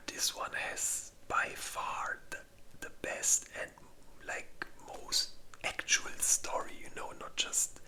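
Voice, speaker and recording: An ASMR host whispers in a breathy, unvoiced voice with a bright, crisp edge. The delivery is very slow and informal, with halting pauses, like casual rambling through a list.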